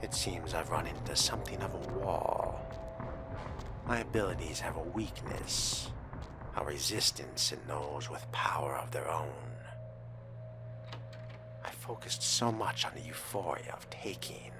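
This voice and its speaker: A man's voice: a bass voice, unhurried, in a whisper. A man playing an arrogant, power-hungry young vampire, speaking in formal, brooding, theatrical monologue for a game role-play. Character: menacing power-hungry fledgling male vampire, coldly arrogant. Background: loud music.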